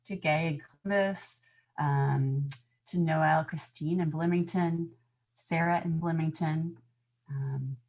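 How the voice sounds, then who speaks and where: Deep-pitched woman hosting online. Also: fairly even intonation, slow, darkish tone. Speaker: host, museum events manager. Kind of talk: informal hosting of an online event. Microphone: video call.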